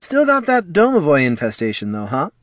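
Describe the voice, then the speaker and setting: Male narrator as small cheeky halfling schemer, flippant, breezy, pitched well up. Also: huge pitch swings, brisk, dry recording, studio quality. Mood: teasing. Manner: flippant, casual.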